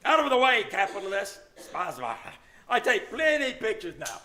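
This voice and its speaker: High, piercing, brusque Russian tourist. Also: male voice, wildly swooping pitch, normal speed, pushed and bright, exaggerated voice. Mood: brusque, insistent. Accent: Russian, put on. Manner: clipped broken English, curt and bossy. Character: brusque male Russian tourist, suspected spy with moustache. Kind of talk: live old-time radio comedy acting.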